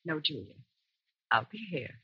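An actress playing a protective confidante, soothing but firm, in a 1940s radio suspense drama. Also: female voice, deep voice, big pitch swings, measured pace, breathy edge, no echo, full character voice. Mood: reassuring. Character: steadying, protective woman confidante. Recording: muffled, dry old radio recording.

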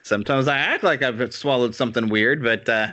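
A man's voice: medium pitch, a little fast, pushed and bright, muffled recording. A man hosting a casual chat, a bit congested in the nose, laughing often.

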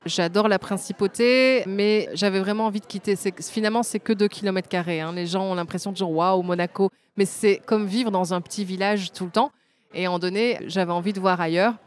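A woman's voice: mid-range voice, fairly even intonation, a little fast. Woman in her forties, guest at a trade fair, eager and full of hesitations. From Belgium. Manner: warm, enthusiastic, frequent hesitations. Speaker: designer and guest. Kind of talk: conversational interview.

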